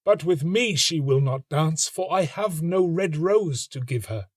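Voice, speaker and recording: A lovelorn young student from a storybook, acted out by a male narrator. His voice is lifted high and slowed into long, plaintive phrases, full of melodramatic romantic despair. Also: animated, no echo, clean studio sound. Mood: wistful, self-pitying. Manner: plaintive, melodramatic, drawn-out sighing delivery. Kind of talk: storybook reading.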